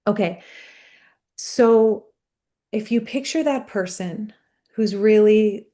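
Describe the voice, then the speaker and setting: A woman's voice: medium pitch, slow pace, warm tone. A woman coach hosting a live online workshop on a video call, speaking earnestly and encouraging her guest.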